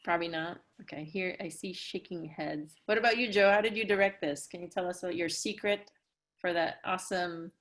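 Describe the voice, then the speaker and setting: A woman with a fairly low voice hosts a casual live Q&A. She speaks over a video call, putting questions to a panel. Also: brisk, fairly bright tone. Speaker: moderator of a theatre Q&A.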